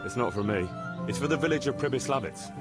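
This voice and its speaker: A man's voice: medium pitch, animated, quick pace, loud music behind the voice, lightly acted. An actor playing a plainspoken, decisive young male bailiff in a medieval video game. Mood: matter-of-fact. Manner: plainspoken, matter-of-fact. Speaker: village bailiff character. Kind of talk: video game cutscene acting.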